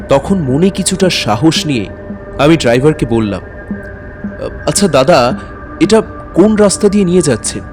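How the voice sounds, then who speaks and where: Actor as a animated, courteous tutor. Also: male voice, on the high side, lightly acted. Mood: uneasy, curious. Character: anxious, courteous male maths tutor recounting an eerie night. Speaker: narrator and lead character. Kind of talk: dramatic first-person ghost-story narration.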